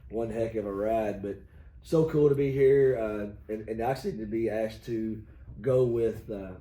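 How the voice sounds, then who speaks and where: Hoarse middle-aged man, folksy union host, very dark tone. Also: lowish voice, steady tone, unhurried. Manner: folksy, rambling with many fillers. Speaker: union local president, host. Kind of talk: casual video chat. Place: union hall. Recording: echoey room, faint noise.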